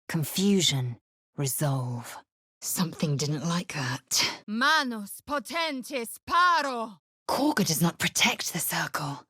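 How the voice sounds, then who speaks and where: A woman voicing a fierce, proud githyanki warrior in a video game, imperious and clipped in manner, with a husky, breathy voice. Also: lowish voice, no echo, studio quality, exaggerated voice. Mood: wary, blunt, then shouted battle incantation.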